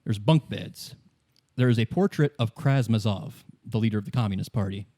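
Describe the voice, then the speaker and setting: A man running a tabletop game, narrating scenes in a casual, halting, conversational way. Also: on the low side, dark tone. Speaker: game master.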